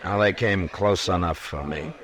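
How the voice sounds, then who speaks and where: An actor playing a stern, laconic Old West marshal in a measured, firm manner, in 1950s radio western style. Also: male voice, sits low, a bit bright. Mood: calm, probing.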